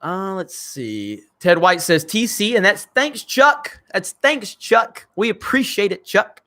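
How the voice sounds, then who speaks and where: Bright, crackly-voiced male streamer. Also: high-pitched, animated, slow. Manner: blunt, swears freely, loose and joking. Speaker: channel host. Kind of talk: casual livestream chat reading viewer comments.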